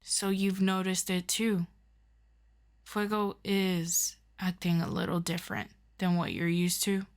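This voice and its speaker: A woman playing a calm, formal superior of a superhero team in an audio roleplay, asking measured, probing questions. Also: fairly low pitch. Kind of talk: scripted audio roleplay addressed to the listener.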